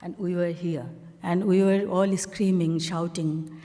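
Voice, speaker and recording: A Bangladeshi woman speaks low and mellow, picking her way through a story with plenty of pauses and fillers. She is an artist walking a panel audience through her slides. Her voice rings out with the long reverb of a large hall. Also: steady tone. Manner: halting, frequent fillers, plain storytelling.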